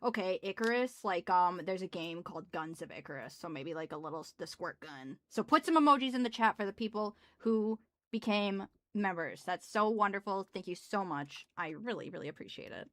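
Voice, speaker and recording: A woman's voice: medium pitch, expressive, brisk, fairly bright tone, dry recording. Bubbly Midwestern woman live streaming. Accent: Midwestern American. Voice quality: gruff. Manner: bubbly, thanking viewers, laughs often. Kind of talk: casual live stream chat. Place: small home office.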